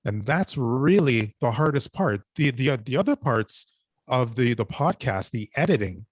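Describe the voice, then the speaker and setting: Male panelist, mid-range voice, halting and earnest, reflective discussion, very dry sound. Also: mid-range voice, big pitch swings, no room sound. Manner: halting, repeats and restarts phrases. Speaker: podcast writer and panelist.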